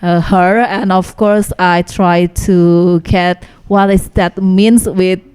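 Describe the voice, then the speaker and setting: A woman's voice: on the low side, level tone, takes it slowly, darkish tone, smooth. A woman hosting a radio interview, asking questions hesitantly with many fillers. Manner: hesitant, fills gaps with "what is it, like". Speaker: radio host. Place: radio studio.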